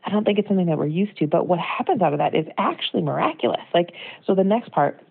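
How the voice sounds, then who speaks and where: A woman's voice: lowish voice, animated, fast talker, vocal fry, husky. An American woman giving an informal, reflective and earnest devotional in a conversational way, recorded as a phone voicemail. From United States. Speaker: devotional speaker. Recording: tinny narrow-band phone voicemail.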